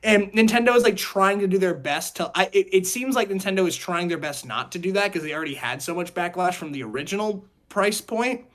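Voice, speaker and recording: A man hosting a casual chat show, talking in a rambling, halting way and often repeating his words. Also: high-pitched, lively intonation, a bit bright. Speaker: podcast host.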